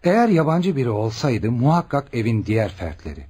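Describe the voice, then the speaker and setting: An earnest junior male doctor in a radio drama. His delivery is lively and emphatic, in a mellow, rather husky voice. He reasons things out deferentially but keenly. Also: medium pitch, fairly quick. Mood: earnest, indignant. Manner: emphatic, explanatory.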